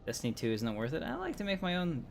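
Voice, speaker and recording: A man's voice: fairly high pitch, wildly swooping pitch, quick pace, gravelly, a little hiss or hum. A man livestreaming a game who chats casually in a rambling way with frequent fillers. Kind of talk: casual gameplay chat.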